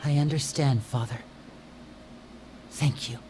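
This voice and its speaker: A man's voice: mid-range voice, fairly even intonation, takes it slowly, mellow, slight background noise, close to an everyday voice. A man voicing an earnest, loyal young member of a secretive family in a video game, speaking resolutely. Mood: respectful. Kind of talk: scripted video game voice acting.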